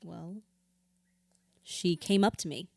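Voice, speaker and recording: A woman's voice: lowish voice, slow, creaky, a little mellow, dry recording, clean studio sound, heavily acted. An actress playing a hurt, bitter widow confiding in her therapist in an audio drama, raw, blunt and sweary. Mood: guarded, bitter. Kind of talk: audio drama acting, confessional dialogue.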